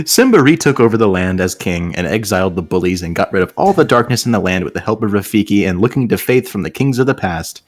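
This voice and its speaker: Low, animated man reading aloud. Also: fast, hardly any pauses, no room sound. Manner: joking, breaks off into sarcastic asides. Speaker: host reading fan fiction aloud with friends. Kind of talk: casual mocking read-aloud with asides.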